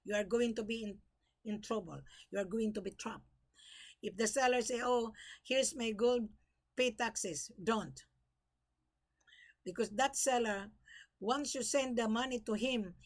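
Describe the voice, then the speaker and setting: An older woman from the Philippines giving emphatic, repetitive warnings and advice straight to her viewers. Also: a little high. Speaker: gold brokerage vlogger. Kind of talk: direct-to-camera advice talk.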